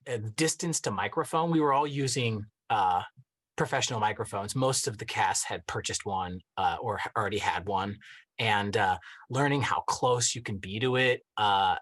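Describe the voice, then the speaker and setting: A man's voice: on the low side, normal speed, a bit bright. A man who acts, casually explaining his craft in an interview and restarting phrases as he goes. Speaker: actor.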